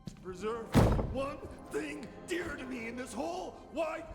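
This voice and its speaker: A man's voice: very high-pitched, expressive, measured pace, gravelly, exaggerated voice. A frantic, paranoid man. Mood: desperate. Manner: desperate, pleading, conspiratorial. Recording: echoey room with loud music.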